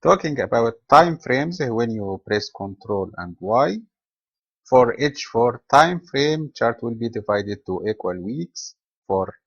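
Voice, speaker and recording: A man from Egypt presenting a trading webinar as host, delivering a steady scripted lecture with non-native phrasing. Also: medium pitch, vocal fry, husky, no room sound, highs cut off.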